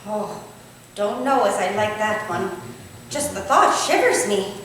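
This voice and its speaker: An actress playing a curious, modest young Victorian housemaid with a colloquial, deferential way of talking, in a staged reading. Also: female voice, mid-range voice, animated, measured pace, a bit bright. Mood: eager, curious. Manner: colloquial servant dialect, deferential. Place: indoor staged reading, distant microphone. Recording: echoey room from far off, faint noise.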